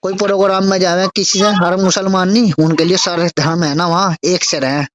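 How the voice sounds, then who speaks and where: A man's voice: high voice, steady tone, fairly quick, fairly bright tone. A man with a western Uttar Pradesh accent speaking fervently and insistently on a recorded phone call, repeating himself to press his point. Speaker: supporter of a local politician. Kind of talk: informal phone conversation.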